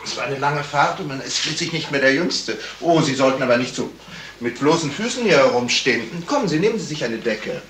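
An actor playing a middle-aged investigator in a 1970s radio crime play, courteous, measured and insistently probing. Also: male voice, mid-range voice, animated, a bit bright, a little hiss or hum, close to an everyday voice. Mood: friendly, courteous. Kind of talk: 1970s German radio crime drama acting.

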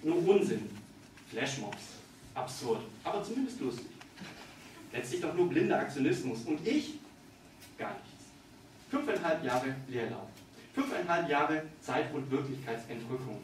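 A man in his thirties giving a deliberate, dramatic literary reading of his own satirical monologue on stage. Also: fairly high pitch, sing-song. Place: on stage in a small dark theatre. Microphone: distant camera in the audience. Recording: distant, echoey hall with background noise.

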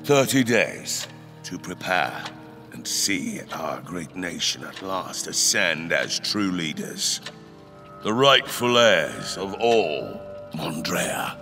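A man playing a solemn, grandiose fantasy king in an audio drama, speaking formally and deliberately. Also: low-pitched, sing-song, bright, forceful tone, faint music behind, exaggerated voice. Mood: solemn, weighty. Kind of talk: theatrical audio drama acting.

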